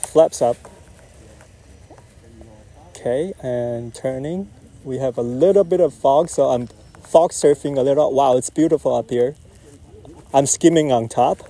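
A man flying a model jet outdoors, calmly calling out each maneuver in short phrases as he flies. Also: mid-range voice, unhurried, pauses often. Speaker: RC jet pilot. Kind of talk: live flight commentary. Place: outdoors at an RC airfield.

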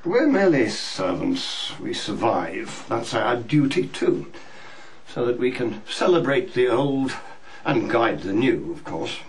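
An actor playing a stoical, enigmatic senior servant in a radio drama, measured, dry and portentous. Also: male voice, mid-range voice, sing-song, slight background noise.

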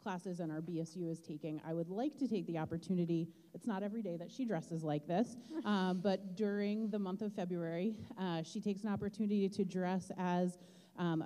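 A woman's voice: fairly low pitch, mellow. A woman school administrator introducing a teacher at a board meeting, chatty with frequent fillers. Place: large school auditorium. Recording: auditorium with long reverb.

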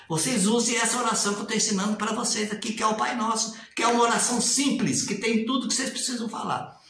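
A man's voice: sits high, bright, forceful tone, very echoey. A man in his sixties with a Brazilian Portuguese accent, speaking casually and animatedly to a webcam in a small tiled room, sometimes mimicking formal prayers in a raised sing-song voice. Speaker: religious commentator. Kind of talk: casual video commentary. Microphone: webcam at desk distance.

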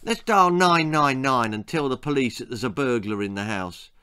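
A man voicing an excitable, mischievous young boy in a children's story. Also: medium pitch, normal speed, smooth. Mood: scheming.

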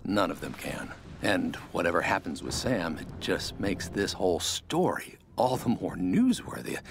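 An actor playing a vain, scheming middle-aged television archaeologist in a video game journal, theatrical, halting and self-justifying. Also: male voice, low-pitched, creaky, noisy background, heavily acted.

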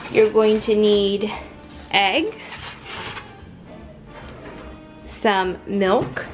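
A young woman chatting casually through a home cooking video, upbeat and full of fillers, recorded at home with soft music behind. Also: medium pitch, extremely slow. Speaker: cooking vlogger. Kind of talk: casual cook-along narration. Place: living room at home, indoors.

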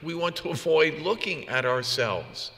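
A man's voice: on the high side, lively intonation, measured pace, vocal fry, sharp tone. A man preaching a homily as a priest, in a conversational, plain-spoken manner. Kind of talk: homily with personal anecdotes. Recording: long reverb of a large room.

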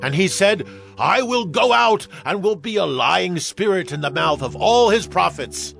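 A man playing a defiant, solemn prophet in a dramatized audio Bible, proclaiming in drawn-out declamation. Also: high-pitched, lively intonation, gravelly, fairly bright tone, faint music behind, exaggerated voice. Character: Micaiah, a defiant, solemn male Hebrew prophet.

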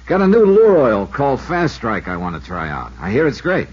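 Breezy 1950s radio detective, lively American. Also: male voice, mid-range voice, moderate pace, breathy edge, no echo, highs cut off. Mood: bantering. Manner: breezy, wry, clipped storytelling delivery. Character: wisecracking freelance insurance investigator, fond of fishing. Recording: band-limited 1950s radio recording with music.

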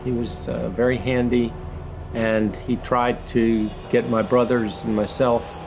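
An older man, a retired engineer, reflecting slowly on his woodworking in a documentary interview, with many pauses and restarts. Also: mid-range voice, little pitch movement, vocal fry, noisy background. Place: woodworking shop in a farm building.

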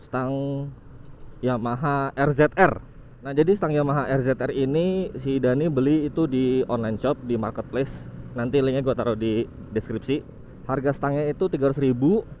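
A young man, giving casual motovlog commentary while riding, relaxed and informal, often saying "guys". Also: medium pitch, normal speed, a little hiss or hum. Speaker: motovlog host. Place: on a motorcycle on a city road outdoors.

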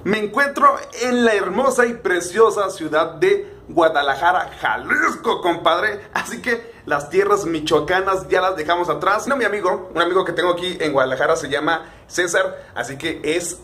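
A man's voice: high voice, normal speed, few pauses, bright, forceful tone, breathy edge. A young man, chatting cheerfully to a phone camera as a travel vlogger. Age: young adult. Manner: cheerful, chatty, keeps saying "compadre". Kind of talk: casual travel vlog. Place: city streets, car and airport, in and out of doors. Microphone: phone camera at arm's length.

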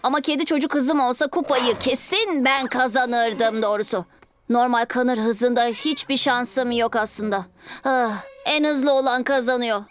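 High-voiced, cocky little boy hero played by a woman. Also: steady tone, measured pace, close to an everyday voice. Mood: wistful, competitive. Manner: impulsive, competitive. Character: eager, cocky six-year-old boy superhero. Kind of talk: dubbed children's cartoon acting. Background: background music.

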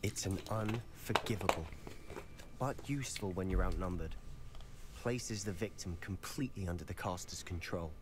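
A man's voice: deep voice, normal speed, background noise, close to an everyday voice. A man voicing an earnest young student wizard. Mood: confiding. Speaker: game companion character. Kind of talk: video game dialogue.

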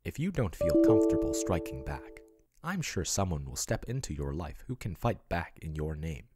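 A man in an ASMR boyfriend roleplay, voicing a male AI chatbot who talks softly and intimately, growing possessive. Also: low voice, big pitch swings, normal speed, husky, no echo, clean studio sound, faint music behind, heavily acted. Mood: protective. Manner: soft, intimate, doting, turning possessive. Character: male AI chatbot, soothing then possessive yandere.

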